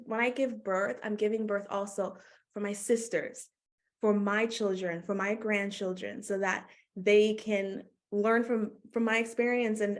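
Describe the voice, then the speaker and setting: A woman from Canada, talking casually as a guest in an interview, full of "like" fillers and quick laughs. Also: medium pitch. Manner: chatty, many "like" fillers, laughs often. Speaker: guest, doula.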